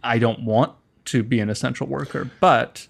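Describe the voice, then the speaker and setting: A middle-aged American man chats as a guest in an interview. His voice sits at an ordinary male pitch. He speaks in a halting, wry, conversational way, picking his words as he goes. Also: fairly even intonation, vocal fry. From California, United States. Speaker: interview guest, musician and performer.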